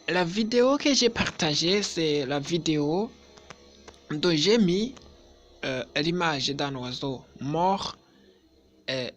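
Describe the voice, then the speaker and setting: Male vlogger, non-native French speaker, slow and hesitant, repeats himself. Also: fairly high pitch, fairly bright tone. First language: not French. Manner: slow, deliberate, repetitive, with hesitations. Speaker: YouTuber. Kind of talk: vlog address to subscribers.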